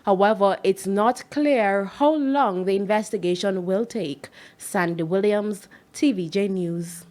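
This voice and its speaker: A woman's voice: medium pitch, a little slow, hardly any pauses, a little mellow. A woman with a Jamaican accent reading a scripted television news report.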